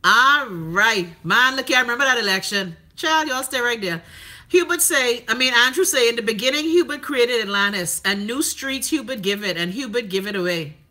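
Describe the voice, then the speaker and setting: A woman's voice: fairly high pitch, normal speed. A Bahamian woman hosting a livestream, chatting casually and sassily while reading out viewer comments, with plenty of profanity. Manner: sassy, profane, animated storytelling. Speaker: livestream host.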